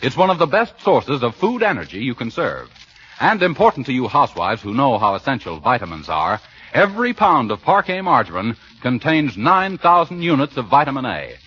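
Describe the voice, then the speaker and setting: A man's voice: medium pitch, big pitch swings, brisk, sharp tone, muffled recording. A man announcing for 1940s radio in a polished, earnest, scripted sales style. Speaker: radio announcer and sponsor spokesman. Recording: old 1940s radio broadcast, band-limited, slightly husky.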